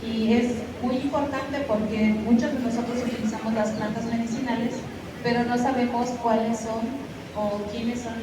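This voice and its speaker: Mixtec-speaking woman in an echoey hall. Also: fairly high pitch. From Guerrero, Mexico. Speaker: graduate student presenter. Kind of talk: academic presentation. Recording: roomy hall echo, distant mic, noisy.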